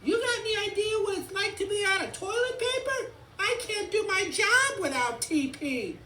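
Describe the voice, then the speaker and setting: A storyteller voicing a hurried, panicky toy race car made from a paper tube, urgent in manner. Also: expressive, sharp tone, a little hiss or hum, heavily acted. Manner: high, urgent. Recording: roomy, distant mic.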